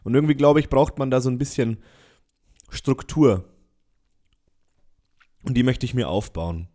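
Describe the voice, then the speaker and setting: A man's voice: mid-range voice, expressive, unhurried, dark tone. A young man, a student, co-hosting a casual podcast with dry, teasing remarks. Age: young adult. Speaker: podcast co-host. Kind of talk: casual chat.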